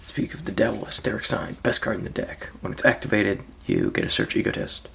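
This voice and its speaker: A man with a raspy, just-woke-up voice, casually walking through his card deck with frequent ums and soft trailing phrases. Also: lowish voice, wildly swooping pitch, fairly quick, slight background noise. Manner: laid-back, hesitant, frequent ums, trails off softly. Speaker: card game player presenting his deck.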